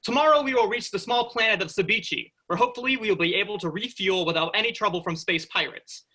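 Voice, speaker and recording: A man's voice: sits high, fast, bright, forceful tone. A man in a play reading, acting a hapless spaceship worker who pompously fancies himself a heroic captain. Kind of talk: staged comedy play reading.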